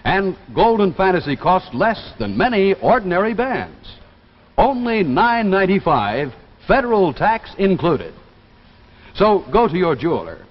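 Animated 1950s American TV pitchman. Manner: polished, emphatic. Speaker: commercial announcer. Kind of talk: 1950s television sales pitch. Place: television studio. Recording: dull vintage TV soundtrack, reverberant, faint noise.

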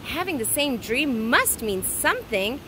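An urgent, questioning young boy, acted out by a woman reading a storybook. His voice jumps well above a normal reading pitch, and clear noise surrounds it. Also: big pitch swings, a little slow, pushed and bright, heavily acted. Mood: urgent, earnest. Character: earnest, questioning young boy in a children's adventure.

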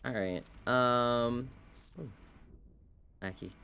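Game streamer, slow and wry, casual running commentary over faint game noise. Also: mid-range voice, creaky, fairly bright tone. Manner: rambling, wry, talking to self and game. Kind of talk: casual live gameplay commentary. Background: video game sounds.

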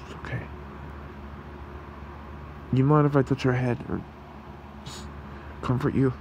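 Slow, creaky, gentle fox shapeshifter, acted by a man. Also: medium pitch, steady tone, noisy background, natural voice. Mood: gentle, comforting. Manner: hesitant, soothing, reassuring. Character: gentle, protective male fox shapeshifter in human form. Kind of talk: ASMR roleplay.

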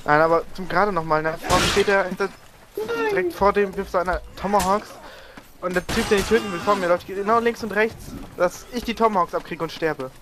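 A man's voice: high-pitched, fairly quick, noisy background. A young man chatting with friends over a game, telling long rambling stories about his bad luck in the match. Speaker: co-player. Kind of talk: casual multiplayer gaming chat.

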